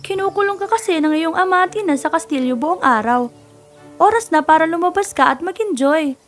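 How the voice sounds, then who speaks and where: High-voiced chatty young princess played by a woman. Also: animated, brisk, faint music behind. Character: chatty, knowing young princess acting as guide. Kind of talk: animated fairy-tale dubbing.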